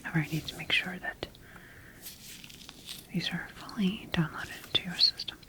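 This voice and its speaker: A woman voicing a methodical scientist in an ASMR sci-fi medical roleplay, speaking in a measured, formal and gently instructing way. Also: on the low side, fairly even intonation, close to an everyday voice. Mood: clinical, calm. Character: clinical, methodical female scientist examining her bioengineered monster creation.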